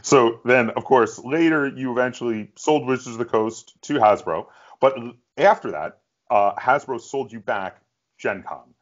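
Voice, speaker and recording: A man's voice: mid-range voice, a little slow. A middle-aged man hosting a casual interview, talking as a fan of the subject. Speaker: interviewer, podcast host. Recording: dull lo-fi sound.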